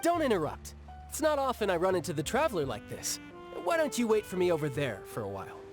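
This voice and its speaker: A man's voice: high-pitched, huge pitch swings, fast, over music. A man playing a confident, playful young fighter in a video game, breezy and nonchalant in his delivery. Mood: playful annoyance. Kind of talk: video game character dialogue.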